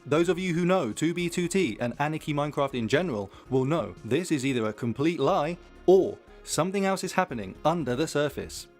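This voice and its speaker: Higher-voiced male explainer narrator over music. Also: lively intonation, fairly quick, hardly any pauses, darkish tone. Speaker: YouTube video narrator. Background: background music.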